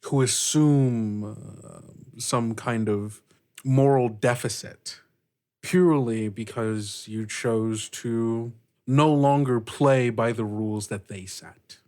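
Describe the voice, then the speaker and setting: Man voicing a slow, solemn pirate captain woman. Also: mid-range voice, mellow, natural voice. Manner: measured, solemn, formal wording. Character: grave, thoughtful female pirate captain.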